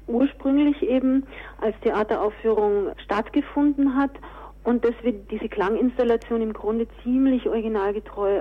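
A woman's voice: medium pitch, narrow, tinny audio. A woman answering interview questions conversationally as an author and director, on a thin radio recording.

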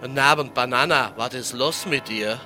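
Man as a naive milk bottle, bright Rhineland voice. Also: mid-range voice, moderate pace, soft background music. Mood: curious, teasing. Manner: simple, folksy, questioning. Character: naive, plaintive little milk bottle from the Eifel. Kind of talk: German political radio play.

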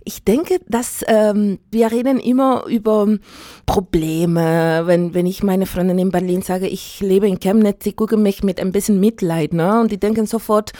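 A middle-aged woman from Chile with a Chilean Spanish accent, Spanish her native language, speaking German thoughtfully with many pauses and fillers in a casual radio interview. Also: mid-range voice, mellow, no echo, clean studio sound.

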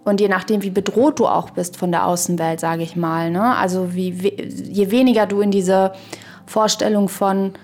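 A woman talks through ideas in a reflective podcast conversation, with a medium-pitched voice. She feels her way haltingly through sentences and checks in with small tag questions. Faint music plays behind her. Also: steady tone, darkish tone. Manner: halting, searching, thinking aloud with frequent tag questions. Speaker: podcast guest or co-speaker.

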